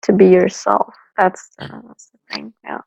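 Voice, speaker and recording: A woman with a gravelly, dry-sounding voice at a middle pitch, chatting in a supportive way. She laughs often and encourages the others. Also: flat delivery, measured pace, a little mellow, no room sound, lo-fi sound. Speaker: co-host. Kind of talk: casual chat.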